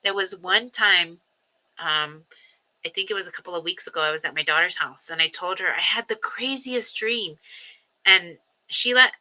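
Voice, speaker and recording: Bright-voiced woman in her forties, rambling earnestly. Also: on the low side, normal speed, rough recording. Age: 40s. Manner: rambling, many ums and restarts, earnest. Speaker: livestream host. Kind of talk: casual reflective livestream talk.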